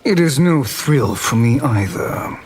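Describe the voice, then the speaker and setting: An actor playing an arrogant, threatening sorcerer in a video game, with smug, formal delivery. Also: male voice, mid-range voice, expressive, a little mellow, slightly rough. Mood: smug menace. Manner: smug, formal, deliberate menace. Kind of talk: video game character dialogue. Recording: long reverb, ambient noise behind.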